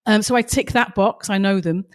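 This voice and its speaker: A woman's voice: mid-range voice, moderate pace. Passionate woman, slightly strained voice. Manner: passionate, emphatic storytelling. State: slightly strained, losing her voice. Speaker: podcast co-host. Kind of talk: casual podcast chat with stories.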